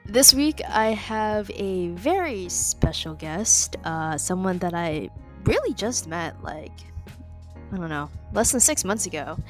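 A woman's voice: medium pitch, big pitch swings, slow pace, music in the background. A young woman from California hosting a casual podcast interview, chatty with lots of ums and likes. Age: young adult. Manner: chatty, many ums and likes, laughs between phrases. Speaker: podcast host.

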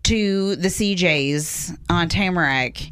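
A bubbly woman in her thirties with a Southern accent, giggly and chatty as a podcast guest. Her voice sits a little low for a woman, and she often trails off mid-thought. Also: takes it slowly, fairly bright tone, smooth. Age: 30s. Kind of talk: casual podcast chat.

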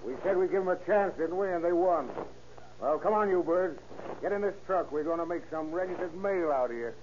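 Male actor as sneering robber, high voice, bossy slang, old-time radio acting, faintly noisy recording. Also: fairly quick, a little mellow, muffled recording, natural voice. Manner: tough, bossy slang delivery. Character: cocky, sneering gangster robber in a crime radio drama. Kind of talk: 1930s-40s radio acting.

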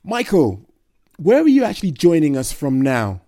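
A man's voice: fairly high pitch, huge pitch swings, moderate pace, dark tone, no room sound, clean studio sound. A man interviewing a fighter, asking long leading questions in slightly formal phrasing. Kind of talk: sports interview.

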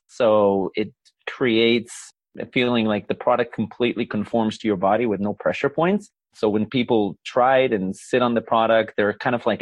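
A man's voice: fairly low pitch, slightly rough, no room sound. A man, explaining things earnestly and laughing easily as an interview guest. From Israel. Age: 40s. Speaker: guest, company founder. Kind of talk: conversational interview.

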